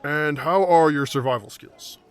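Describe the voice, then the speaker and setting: A man's voice: fairly high pitch, unhurried, smooth, close to an everyday voice. An actor playing a blunt, hard-to-please male adventurer in a fantasy audio drama, speaking in a curt, dry manner.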